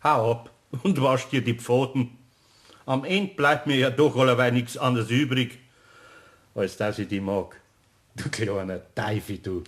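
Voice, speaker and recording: A man's voice: mid-range voice, a little slow, lightly acted. An actor playing a grumbling but kind-hearted older Bavarian craftsman in a children's radio play, scolding in a folksy way. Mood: gruffly fond.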